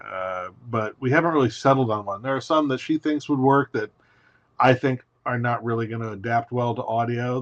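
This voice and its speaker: A man's voice: medium pitch, dry recording. Male guest writer, articulate and methodical; dry, slightly muffled call audio. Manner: articulate, methodical, asks himself rhetorical questions. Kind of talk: podcast interview.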